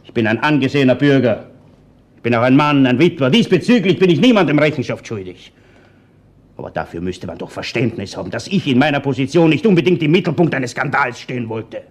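Actor as a haughty, indignant suspect, swooping pitch. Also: male voice, lively intonation, fast, close to an everyday voice. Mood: defensive. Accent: Austrian. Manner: pompous, defensive. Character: haughty, indignant widowed male citizen under suspicion. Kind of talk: radio crime drama acting.

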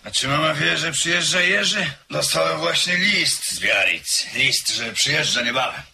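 An actor in a radio drama playing an attentive gentleman addressing his elders politely. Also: on the high side, lively intonation, normal speed, creaky, noisy background. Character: attentive gentleman, son-in-law of an old lady.

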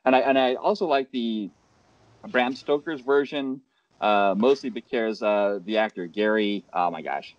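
A man hosts a panel discussion from a home room, speaking through a headset. His voice sits low and dry, with a dull lo-fi call sound. He talks in a loose, rambling way and often restarts his sentences. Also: measured pace, no room sound. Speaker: panel moderator.

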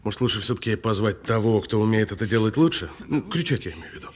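An actor playing a cool, sardonic detainee who needles a policeman with deliberate, mocking delivery in an audio drama. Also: on the low side, animated, husky. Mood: mocking, menacing. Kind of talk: naturalistic audio film drama acting.

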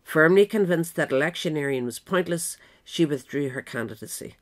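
A woman reading scripted narration in a measured, formal manner, as the narrator of a pamphlet. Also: low-pitched.

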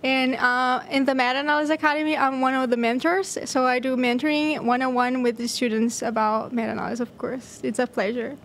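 Female interview guest, 20s, high-pitched voice, conversational, slight background noise. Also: little pitch movement, a little fast, few pauses, a bit bright. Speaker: podcast guest, surgical research fellow and mentor. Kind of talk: casual podcast interview. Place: podcast studio, indoors. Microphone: desk microphone on boom arm.

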